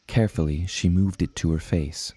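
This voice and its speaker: A man's voice: sits low, brisk, dark tone, breathy edge. An actor playing an earnest seafaring adventurer who tells his tale in formal, old-fashioned language. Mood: earnest storytelling. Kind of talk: scripted storybook narration.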